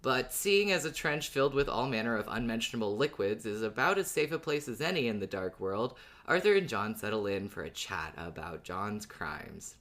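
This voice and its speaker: A man's voice: fairly low pitch, big pitch swings, normal speed, few pauses, a bit bright. A man co-hosting a podcast, chatting and recapping in a casual style. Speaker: podcast host.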